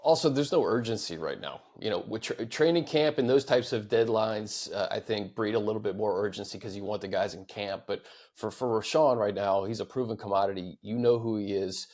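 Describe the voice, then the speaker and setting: An American man hosting a sports podcast, discussing the game casually and giving his opinions in a measured way. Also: on the low side, a little mellow.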